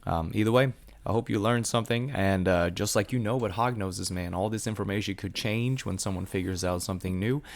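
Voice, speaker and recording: A man with a very low voice made raspy by a sinus infection. He talks in a relaxed, unscripted way, filling pauses with ums and uhs as he shows off his snakes. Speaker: hognose snake breeder. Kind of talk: casual explanatory show-and-tell.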